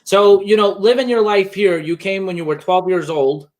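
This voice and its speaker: A man's voice: sits high, steady tone, moderate pace, a little mellow. A man from Bosnia hosting a conversational interview in a reflective way. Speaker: podcast host interviewing a guest.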